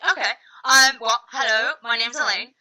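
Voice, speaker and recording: A young woman from South Africa with a strikingly bright, forceful voice pitched a little high. She talks as a podcast guest and tells stories in a lively way, laughing easily as she goes. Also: moderate pace, rough recording. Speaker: guest, media academic. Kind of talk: casual interview chat.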